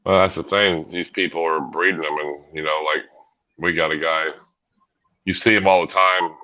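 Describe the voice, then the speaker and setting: Low-voiced American man, dull audio. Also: fairly even intonation, normal speed. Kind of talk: casual chat. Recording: slightly dull livestream audio.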